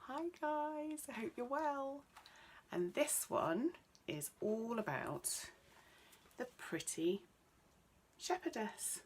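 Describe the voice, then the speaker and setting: A woman's voice: a little high, animated, slow, long pauses, a little hiss or hum. A woman narrating a children's bedtime story as a storyteller.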